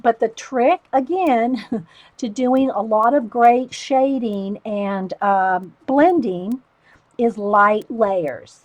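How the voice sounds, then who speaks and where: Lady with a loud voice, kinda high-pitched, rambly chat to her stream, dry close mic. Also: very slow, dry recording, highs cut off. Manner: rambling, talks to chat viewers by name. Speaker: livestream host coloring for viewers. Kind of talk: casual chatty tutorial.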